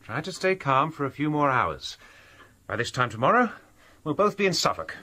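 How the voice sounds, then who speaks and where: An actor playing a resolute English gentleman scholar in a radio drama, anxious for his friend and formal in speech. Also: on the high side, big pitch swings, moderate pace, exaggerated voice. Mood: firm, soothing.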